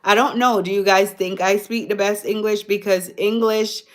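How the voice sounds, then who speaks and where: A woman's voice: medium pitch, steady tone, moderate pace. A Jamaican woman hosting a casual livestream, chatting with viewers, answering their comments and laughing often. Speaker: livestream host. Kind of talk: casual live-stream chat with viewers.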